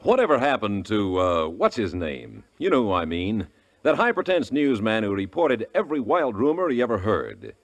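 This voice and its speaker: A man's voice: lowish voice, wildly swooping pitch, normal speed. An American man reading a scripted 1950s radio network promo as an announcer. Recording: vintage 1950s radio broadcast recording.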